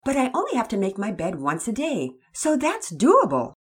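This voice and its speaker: Calm wise mother, read by a woman. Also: fairly high pitch, moderate pace, slightly rough, voice pushed up, full character voice. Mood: reassuring. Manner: calm, reassuring.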